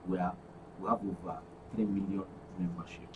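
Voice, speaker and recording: A man's voice: deep voice, steady tone, moderate pace, recorded from a distance, muffled recording, background noise. A man with a Nigerian accent speaking as a guest in a studio interview, promoting an organisation. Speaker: actors' guild guest.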